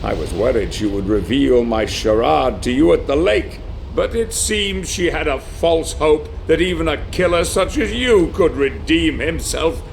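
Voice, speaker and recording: A man's voice: a little high, creaky, slight background noise, heavily acted. A man playing a treacherous, power-hungry sorcerer lord in a video game, pompous and taunting, savoring every phrase. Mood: cruel triumph. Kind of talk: dramatic video game villain monologue. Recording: dramatic music behind the voice.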